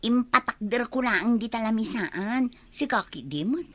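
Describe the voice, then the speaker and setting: An actress with an Ilocano accent playing a nosy, cheeky gossip who sells perfume, with a drawn-out, teasing delivery in a comedy radio drama. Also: female voice, fairly high pitch, lively intonation.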